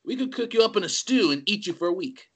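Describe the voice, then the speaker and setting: A man's voice: high-pitched, expressive, fast, breathy edge. An actor playing a flamboyant, teasing uncle in his late forties in a screenplay table read. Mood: teasing menace. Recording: humming, noisy call audio.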